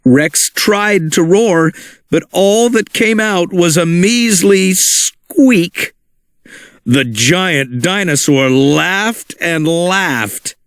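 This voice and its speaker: Male read-along narrator, lively and unhurried, dry close studio sound. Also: high voice, no echo. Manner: animated, expressive reading for children. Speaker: storybook narrator.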